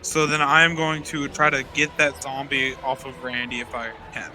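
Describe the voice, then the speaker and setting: A man's voice: medium pitch, monotone, moderate pace, sharp tone. A man playing a tabletop roleplaying game, casually talking through his moves. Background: faint background music.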